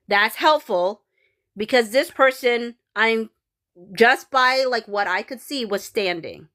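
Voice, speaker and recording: Woman, Filipino accent, livestream host, casual rambling chat, lots of "like" and "um", mid-pitched voice. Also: fairly bright tone. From Philippines. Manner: rambling, many fillers and restarts, reads out viewer comments.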